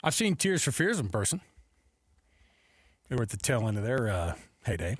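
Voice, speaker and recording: A male American sports talk host chats casually with very animated, swinging intonation. He is close on a studio microphone with almost no room sound. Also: mid-range voice, normal speed, husky, no echo. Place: radio studio.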